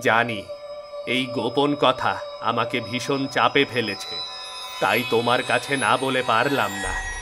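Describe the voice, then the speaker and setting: A loyal, burdened guard of a fairy kingdom played by a man speaks with solemn earnestness. His pitch is middling and his phrasing is formal, in cartoon fairy-tale style. Music plays clearly behind him. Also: slow, close to an everyday voice. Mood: earnest, resolute.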